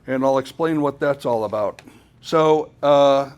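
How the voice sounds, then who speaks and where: A man gives an informal technical presentation in a mid-pitched voice. His delivery is halting and hesitant, and he often repeats words before carrying on. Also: a little mellow, smooth. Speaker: conference presenter.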